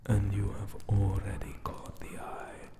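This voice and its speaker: A man voicing a solemn, ominous Anubis tomb guardian monster in a breathy, formal ASMR roleplay. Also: on the low side, monotone, a little slow, reverberant, natural voice. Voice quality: breathy, whispery.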